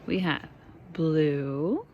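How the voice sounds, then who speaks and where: A woman reading a picture book aloud to her small children as their mother, playfully exclaiming and chatting with them between lines in drawn-out, encouraging reactions. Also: sits low, sing-song, highs cut off. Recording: noisy home recording with clear background noise.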